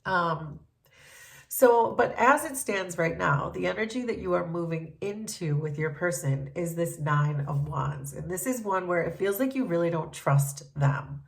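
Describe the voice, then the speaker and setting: A woman with a stuffy, congested voice from a cold, giving a gentle, thoughtful tarot reading with frequent pauses and ums. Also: fairly low pitch, creaky. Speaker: tarot reader.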